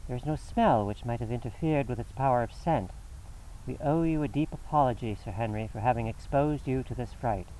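A cool, incisive detective speaks in a crisp, measured way, read by a male narrator close to his own voice. His pitch sits at an ordinary male middle. The voice sounds a little set back from the microphone. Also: dark tone, distant mic, a little hiss or hum, natural voice, rough recording.

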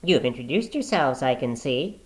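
A man voicing an eccentric, excitable gentleman naturalist who is watchful and wary, in an audiobook reading. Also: mid-range voice, moderate pace, natural voice. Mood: probing.

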